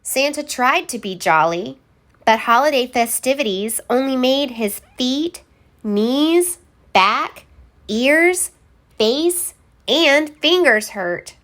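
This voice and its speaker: Female storybook reader, highish voice, very lively intonation, theatrical and deliberate delivery for young children. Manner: theatrical, deliberate, emphatic word by word. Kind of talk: expressive children's read-aloud narration.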